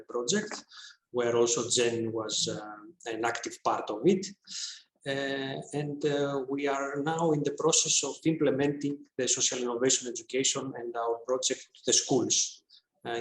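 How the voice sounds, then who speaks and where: A man from Greece with a Greek accent speaks over a video call in an echoey room with a faint hiss behind him. His delivery is flat and halting, full of fillers as he introduces himself as a project coordinator. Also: medium pitch. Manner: hesitant, many fillers.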